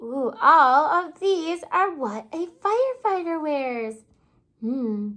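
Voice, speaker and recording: A woman kindergarten teacher reading a story aloud to young children, expressive and pausing to prompt them with questions. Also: high voice.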